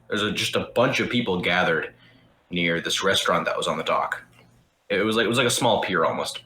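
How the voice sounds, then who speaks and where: Low-voiced rambling young man, echoey room. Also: bright, forceful tone. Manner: rambling, many restarts and fillers, casual swearing. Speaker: podcast host. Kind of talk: casual storytelling.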